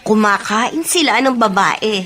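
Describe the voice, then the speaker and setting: A woman's voice: medium pitch, expressive, a little slow, fairly bright tone, soft background music. An actress playing a sly, meddling young woman in a Filipino radio drama. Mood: confiding. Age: young adult. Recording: noticeable ambient noise behind voice.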